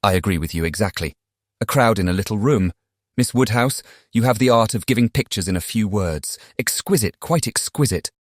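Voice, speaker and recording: A man with a British accent playing a charming, persuasive young Regency gentleman in a dramatized audiobook, speaking politely and eloquently. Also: lowish voice, lively intonation, a little fast, no echo, clean studio sound. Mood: gallant, agreeable. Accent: British English.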